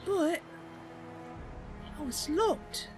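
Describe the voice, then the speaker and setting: A woman acts out a young wood elf adventurer with a high voice. She is earnest and careful, muttering her hesitant thoughts aloud in a fantasy game, with faint music behind her. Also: lively intonation, very slow, heavily acted. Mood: cautious, uneasy. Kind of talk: in-character video game roleplay.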